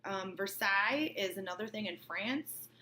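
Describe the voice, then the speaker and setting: A woman's voice: mid-range voice, animated, moderate pace, pushed and bright, slight background noise. A woman teacher reading a novel aloud and breaking off for informal, colloquial explanations, often checking that listeners follow. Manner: colloquial, checks understanding with okay and right.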